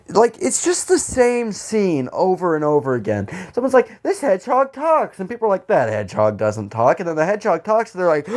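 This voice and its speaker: A man co-hosting a podcast in casual comedic banter, rambling and halting as he goes and often laughing. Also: sits high. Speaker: podcast co-host.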